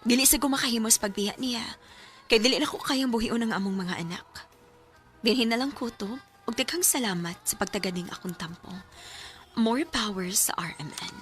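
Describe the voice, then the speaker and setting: A woman's voice: fairly high pitch, expressive, moderate pace, fairly bright tone, soft background music. An actress playing a long-suffering, pleading wife and mother in a Visayan radio drama, with a Cebuano Bisaya accent. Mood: sorrowful, resigned.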